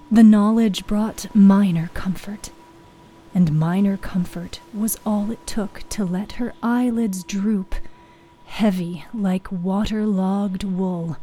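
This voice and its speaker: A woman's voice: medium pitch, warm tone. A woman narrating scripted fiction in a dramatic storytelling style. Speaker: author and narrator.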